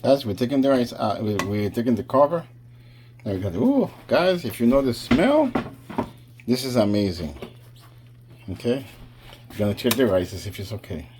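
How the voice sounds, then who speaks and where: A man's voice: mid-range voice, big pitch swings, moderate pace, long pauses, noisy background. A man casually talking viewers through a home cooking vlog, chatty and addressing them directly. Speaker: cooking vlogger.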